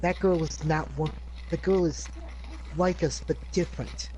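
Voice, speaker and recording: A man's voice: a little high, steady tone, moderate pace, darkish tone, slight background noise, poor audio. A man playing in a tabletop game, often repeating himself as he talks. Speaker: player. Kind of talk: in-character talk in a tabletop game.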